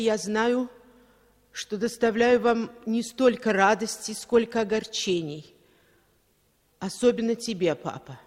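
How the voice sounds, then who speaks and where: An actor playing a homesick exiled son, reading a letter in a halting, plaintive way, echoing as in a 1960s radio play. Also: male voice, high-pitched. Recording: long echoing reverb.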